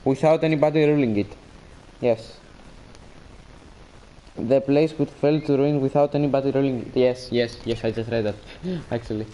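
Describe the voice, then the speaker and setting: A man's voice: mid-range voice, fairly even intonation, moderate pace, warm tone, rough recording. A man with a Greek accent chatting casually and joking while streaming a game and reading chat.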